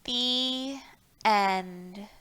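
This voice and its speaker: A woman's voice: medium pitch, very slow, sharp tone, clear, resonant tone. A woman hosting a children's storytime, reading aloud and explaining things in an encouraging way, speaking directly to young listeners. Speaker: library storytime host.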